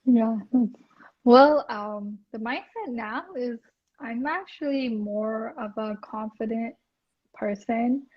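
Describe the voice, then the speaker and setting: A woman with a soft voice, talking hesitantly with many restarts as a guest in a casual interview. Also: a little high, takes it slowly, husky. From India. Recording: dull lo-fi audio.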